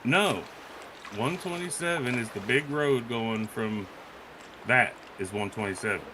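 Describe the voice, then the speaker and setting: Casual, blunt male streamer with a mid-pitched voice, swears freely, clear background noise. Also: a little slow. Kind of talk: casual chat on a live stream.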